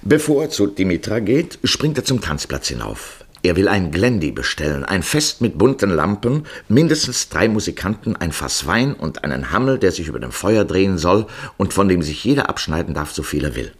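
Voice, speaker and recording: Male narrator for literary radio drama, very low husky voice, scripted storytelling. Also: big pitch swings, moderate pace, hardly any pauses.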